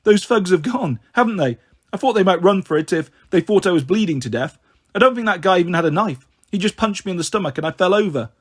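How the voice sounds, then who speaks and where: A man's voice: sits high, animated, fast. A man voicing a plucky teenage boy in an audiobook, jokey and romantic, with a playful, theatrical way of talking. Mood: excited.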